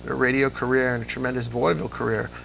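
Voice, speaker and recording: A man being interviewed as a fan of old-time radio, telling lively anecdotes in a relaxed conversational way. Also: medium pitch, fast, gravelly, faint music behind, rough recording. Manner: animated storyteller, mimics voices in anecdotes. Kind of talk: casual anecdotal chat.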